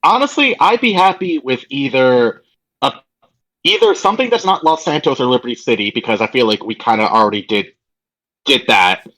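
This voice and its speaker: A man with a bright, forward voice at a middle pitch co-hosts a podcast. He talks about games in a casual, informal way. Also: expressive, fairly quick, rough recording. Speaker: podcast co-host.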